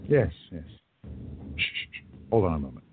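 A man acting an older time traveler in a radio play, stern and calm with quiet menace. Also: deep voice, a little hiss or hum. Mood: sympathetic. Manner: measured, stern, drawn-out admonishing. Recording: muffled lo-fi phone-like line.

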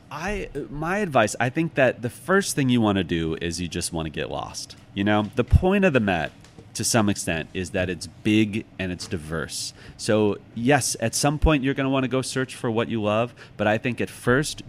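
American male interview guest, lowish pitch, conversational and reflective, halting delivery, audible background noise. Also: sing-song. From Chicago, United States. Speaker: author and podcast guest. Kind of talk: casual interview conversation, anecdotes and reflective advice.

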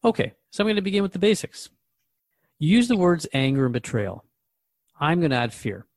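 A man's voice: fairly high pitch, sing-song, fairly quick, dry recording. A man acting as a radio host in a drama, asking questions in an earnest, interviewing manner.

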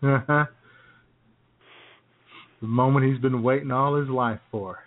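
A male comedy radio co-host in relaxed conversation, tossing out jokes and asides. His voice sits in the middle of the male range. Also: medium pitch, measured pace, narrow, tinny audio. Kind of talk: casual comic banter.

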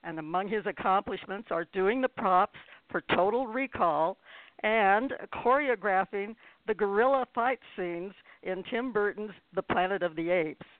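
A woman's voice: medium pitch, measured pace, dry recording. Woman radio host, dry phone-like sound. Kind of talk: conversational radio hosting. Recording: phone-like narrow-band audio.

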